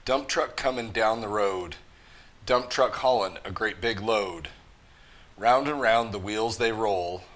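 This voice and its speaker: A man with a fairly low voice reads a children's story aloud. His delivery is rhythmic and emphatic, leaning into the rhymes as a storyteller for young listeners. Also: slow, fairly bright tone. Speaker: school mascot reading a picture book to children.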